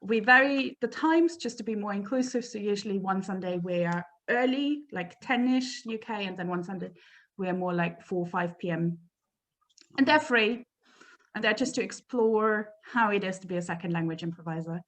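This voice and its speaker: Woman, native German speaker, improv teacher, mid-pitched, chatty on a video call. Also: moderate pace, fairly bright tone. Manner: chatty, explains at length. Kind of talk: casual chat on a video call.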